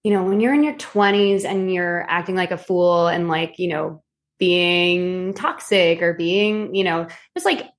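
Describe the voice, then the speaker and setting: A woman in her twenties, a guest on a podcast, telling stories animatedly with many fillers and slowing down to explain. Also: lowish voice, fairly even intonation. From Filipino heritage. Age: 20s. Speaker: podcast guest, psychic reader. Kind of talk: casual interview chat.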